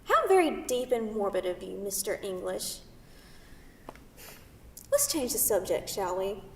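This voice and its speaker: A woman's voice: medium pitch, very animated, unhurried, gravelly, slight background noise. A woman reading the part of a brash, teasing New York City woman in a staged play, pushy and playfully sarcastic. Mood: needling, superior. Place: theatre, recorded from a distance. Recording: distant mic in echoey hall.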